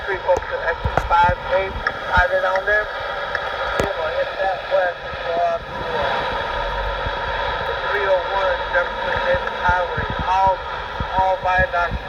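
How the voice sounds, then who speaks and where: A man with a high-pitched voice gives route directions over CB radio in an informal, instructive way. He sounds muffled, under very heavy static. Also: flat delivery, unhurried, recorded from a distance. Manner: instructive, listing exits and routes. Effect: CB radio transmission.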